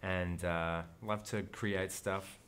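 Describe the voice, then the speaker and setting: Australian male actor, interview guest, deep voice, casual and thoughtful, restarts a lot. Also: fairly even intonation, slow, fairly bright tone, slight background noise. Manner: thoughtful, rambling, with frequent restarts.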